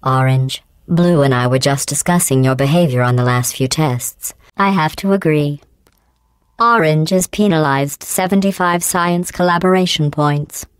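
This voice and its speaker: A woman voicing a cold, sarcastic female AI that runs test chambers, speaking in a deadpan, condescending way through a synthetic computer-voice effect. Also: deep voice, normal speed, clear, resonant tone, dry recording. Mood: mockingly polite. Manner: deadpan, condescending, calmly insulting. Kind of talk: video game voice clips.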